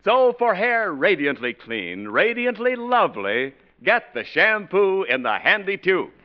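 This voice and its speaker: A man announces in vintage radio style, selling with a script. His pitch is high and very animated. The recording is dull and old-fashioned. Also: unhurried.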